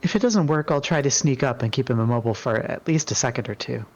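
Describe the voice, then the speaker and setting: A man's voice: mid-range voice, lively intonation, fast talker, dull audio, close to an everyday voice. A man voicing a cautious, tactical female spellcaster with a hesitant, analytical manner in an amateur dramatic reading. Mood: cautious planning.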